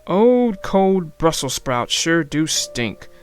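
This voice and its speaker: A woman voicing a droll, mild father turtle in a children's storybook, with a deliberate, wry delivery. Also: low voice, very animated, faint music behind, voice pushed down, heavily acted.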